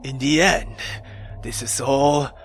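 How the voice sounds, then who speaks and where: A man voicing a brooding, imperious dark lord with slow, menacing, formal delivery in a visual novel. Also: medium pitch, fairly even intonation, over music, pitched below the performer's own voice, lightly acted. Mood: grim, vengeful. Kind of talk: dramatic visual novel voice acting.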